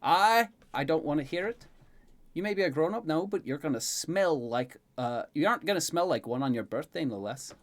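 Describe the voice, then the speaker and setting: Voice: mid-range voice, lively intonation, brisk, few pauses, voice pushed up, close to an everyday voice. A narrator acts out an older woman caretaker in a fantasy story. She teases and scolds with obvious affection, her raised voice bouncing up and down as she bosses her young charge along. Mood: bossy, bustling. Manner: playful, bossy, mock-scolding.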